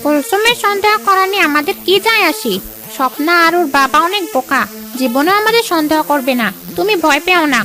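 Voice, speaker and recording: A scheming older stepmother from a cartoon, acted by a woman, very high and piercing in pitch. She is greedy and calculating as she coaxes, over faint background music. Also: expressive, brisk, pushed and bright, full character voice. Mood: dismissive. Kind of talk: Bengali cartoon dialogue.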